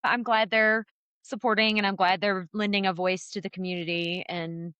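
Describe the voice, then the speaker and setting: A woman co-hosting a casual podcast, supportive and relaxed, sometimes restarting her sentences. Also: medium pitch, normal speed, clean tone, no room sound. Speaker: podcast co-host. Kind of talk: casual chat.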